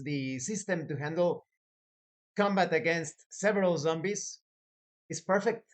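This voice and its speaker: A man hosting a tabletop gaming advice video as a game master, speaking in a deliberate, emphatic way with dramatic lists. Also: high-pitched. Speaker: host, professional game master.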